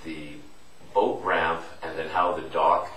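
Man, councillor, very low voice, rambling hesitant questions, echoey distant mic. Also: flat delivery, takes it slowly, fairly bright tone, a little hiss or hum. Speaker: town councillor. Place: council chamber, large indoor room. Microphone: table microphone, recorded from far.

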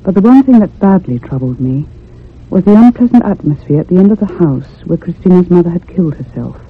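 An actress playing a thoughtful, troubled young woman in a radio ghost drama, speaking in a grave, measured, haunted way. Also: fairly low pitch, expressive, husky. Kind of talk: old-time radio drama narration. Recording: muffled phone-like radio recording with hum.

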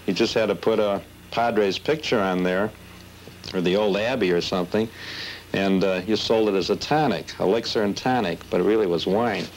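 Man, lowish voice, casual collector show-and-tell, faint noise, vintage videotape. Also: steady tone, normal speed. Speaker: collector showing his collection. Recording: slightly noisy 1970s videotape.

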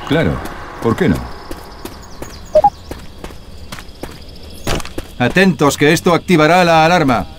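A man's voice: on the high side, sing-song, brisk, background noise. A man playing a tough, irritable apocalypse survivor in a video game, brusque and urgent, with a Castilian Spanish accent. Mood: alert, brusque. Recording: loud music over game audio.

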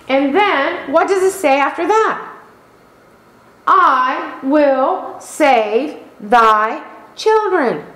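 Slow, emphatic woman preaching in an echoey church. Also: high voice, a bit bright. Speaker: pastor. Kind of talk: sermon. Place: church sanctuary. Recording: echoey room.

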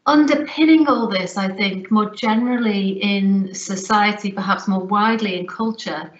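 A woman giving a recorded lecture, speaking in a measured, reflective way over a webcam. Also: medium pitch. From Liverpool, England. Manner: measured, reflective, deliberate phrasing. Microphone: webcam on a video call. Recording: roomy, slightly dull webcam sound.

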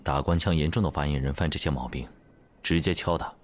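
An actor playing a composed, doting young male executive in an audio drama, speaking in a measured, unhurried way. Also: a bass voice. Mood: brisk, authoritative.

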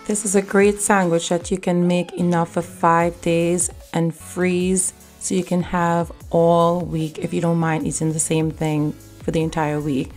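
A woman hosting a cooking video, giving calm step-by-step recipe instructions in voice-over. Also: lowish voice, measured pace, few pauses, faint music behind. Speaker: cooking channel host.

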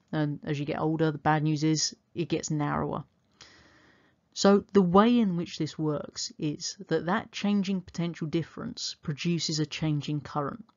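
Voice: mid-range voice, a little mellow, highs cut off. A teacher narrating a revision lesson, explaining things step by step. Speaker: science teacher. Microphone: dynamic microphone.

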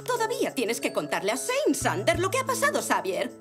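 A woman's voice: high-pitched, wildly swooping pitch, brisk. A woman voicing a snooty, exasperated zebra mother in a children's cartoon, very dramatic in manner. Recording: music behind.

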